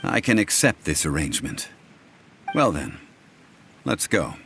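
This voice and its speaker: A man playing a calm, dignified and formal consultant in a video game, speaking in a measured, courteous way. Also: low-pitched, creaky, a little hiss or hum, full character voice. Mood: calm, firm.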